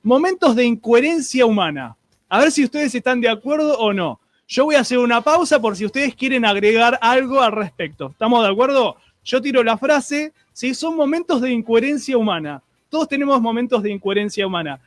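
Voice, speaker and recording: High-voiced Argentine man, dry studio sound. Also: lively intonation, a little fast, no room sound. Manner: chatty, stumbling restarts and fillers. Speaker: radio show host. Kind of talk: casual radio chat and listener greetings. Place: radio studio, streamed.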